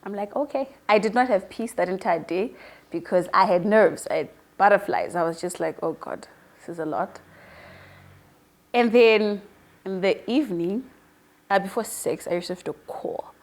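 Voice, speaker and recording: A woman in her early twenties being interviewed. She talks in a casual, chatty style at a middling pitch, like someone telling stories about herself. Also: moderate pace. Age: early 20s. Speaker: newly appointed online show presenter being interviewed.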